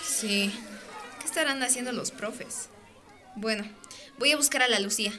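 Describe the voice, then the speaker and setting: A woman's voice: a little high, normal speed, a crowd in the background, background noise, lightly acted. A woman playing an earnest, indignant teenage student in a radio drama, talking in a casual youthful way. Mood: indignant, chatty.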